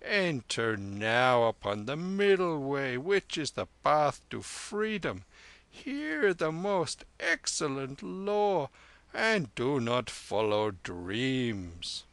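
A man voicing an elderly, gentle lama who speaks in slow, solemn, archaic phrases in a storybook reading. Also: mid-range voice, sing-song, no echo, full character voice.

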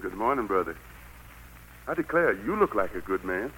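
Vintage radio drama the Lord as a folksy Southern preacher type. The voice is bright and forceful and rises and falls freely, gentle yet quick to admonish. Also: male voice, on the low side, very animated, a little hiss or hum, low-quality recording. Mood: courteous. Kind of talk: 1940s radio drama acting. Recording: vintage 1940s radio broadcast.

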